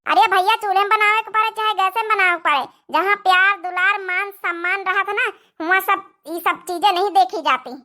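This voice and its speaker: A woman voicing an Indian village family woman in a cartoon comedy drama, with an Awadhi accent and expressive rustic speech. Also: very high voice, fairly quick, hardly any pauses. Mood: indignant. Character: village women of an Indian family: mothers-in-law and young daughter-in-law.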